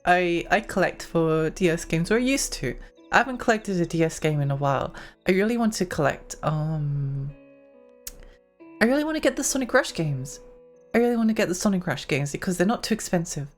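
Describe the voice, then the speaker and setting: A young woman streaming a game, chatting in a rambling way with lots of fillers and giggles, her voice getting hoarse. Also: on the low side, lively intonation, fairly quick, faint music behind. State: losing her voice. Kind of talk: casual live-stream chat while gaming.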